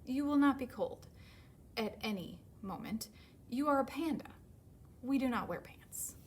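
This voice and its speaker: A woman's voice: high-pitched, lively intonation, a little slow, slight background noise. Woman as an exasperated, bossy storybook panda, emphatic swoops. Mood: dismissive. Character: exasperated, practical panda scolding a companion. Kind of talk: children's picture-book read-aloud.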